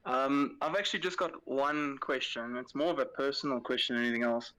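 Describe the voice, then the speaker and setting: Bright-toned South African caller. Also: male voice, medium pitch, fairly even intonation, a little fast, rough recording. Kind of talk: casual call-in chat.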